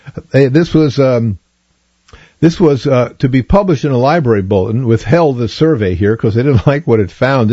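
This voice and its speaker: A man's voice: mid-range voice, dark tone, husky, dry recording, lo-fi sound. A man hosting a radio show, chatting casually about news items in a digressive way, with plenty of fillers and restarts. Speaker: radio host.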